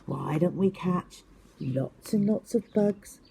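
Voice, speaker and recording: A woman's voice: fairly low pitch, expressive, slow, creaky, mellow, a little hiss or hum, full character voice. A woman voicing a kindly, encouraging female woodland animal in a storybook, reassuring and full of advice. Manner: reassuring, advising.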